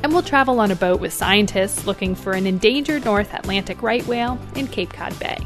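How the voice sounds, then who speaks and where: A woman hosting a radio news magazine, reading a scripted introduction in a measured, even way. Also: medium pitch, over music. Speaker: radio show host.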